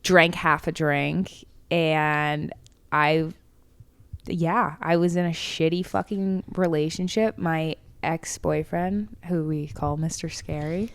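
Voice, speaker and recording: A woman's voice: sits low, steady tone, takes it slowly, clean tone, dry recording. A woman in her twenties co-hosting a podcast, telling stories candidly, swearing often and laughing a lot. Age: 20s. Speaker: podcast co-host. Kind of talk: casual chat.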